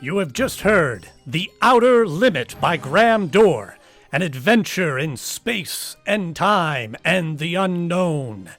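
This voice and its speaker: A man's voice: a little high, sing-song, slow, a bit bright, faint music behind. A man announcing as host of a radio drama, reading scripted credits and sign-offs in old-time programme style.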